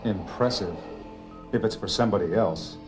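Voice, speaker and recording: A man's voice: on the low side, moderate pace, over music, natural voice. A man acting a worried, skeptical middle-aged heart patient in a medical dramatization. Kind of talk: acted scene in a medical dramatization. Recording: noisy room ambience.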